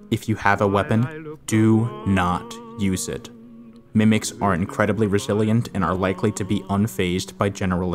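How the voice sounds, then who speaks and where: A man reading scripted explainer narration on horror lore in a measured, expository way. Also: deep voice. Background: background music.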